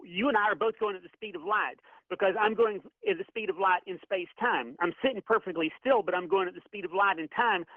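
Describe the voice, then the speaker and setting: Florida guy calling in, high voice, friendly, kinda fumbles words, sounds like a phone. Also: dry recording. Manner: friendly, halting, searching for words. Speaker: caller. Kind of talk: phone-in caller. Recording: tinny phone line.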